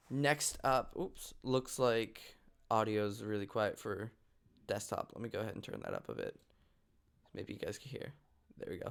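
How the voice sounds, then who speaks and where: A man's voice: lowish voice, creaky. A man casually hosting an online table read, chatting and explaining things to viewers. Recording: online group call livestream.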